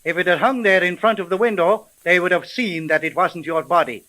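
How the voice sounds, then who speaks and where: A man playing a wisecracking, commanding homicide sergeant in a 1930s radio drama, with colloquial, brisk speech. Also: high-pitched, bright, forceful tone. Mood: wry, firm. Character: gruff, wisecracking male police homicide sergeant.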